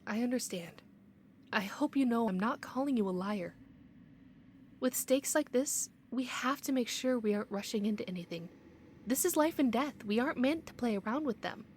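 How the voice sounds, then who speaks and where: A woman playing a stern, by-the-book city investigator in an audio roleplay, speaking in a measured, authoritative, admonishing way. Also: medium pitch, darkish tone, a little hiss or hum, lightly acted.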